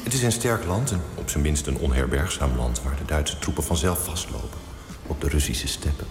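Solemn pious chaplain, super deep voice, echoey hall. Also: male voice, lively intonation, brisk, over music. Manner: solemn, deferential. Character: pious court chaplain, deferential. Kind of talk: radio drama acting.

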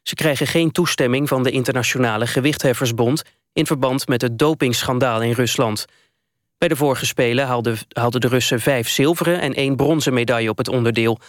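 A man's voice: medium pitch, no echo, clean studio sound. A man with a Netherlands Dutch accent reading a formal, scripted news bulletin as a radio newsreader.

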